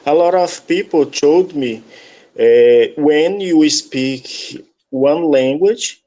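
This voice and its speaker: Slow, halting man with a Brazilian accent. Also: on the high side, dark tone. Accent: Brazilian Portuguese. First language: Portuguese. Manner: halting, searching for words. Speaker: English student. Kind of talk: online English lesson conversation.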